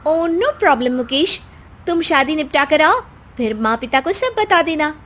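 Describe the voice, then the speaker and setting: A woman voicing a haughty, mocking young city wife in an animated story. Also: sits high, a little slow. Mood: sassy. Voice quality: sassy, mocking, laughs at others. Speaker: voice actor.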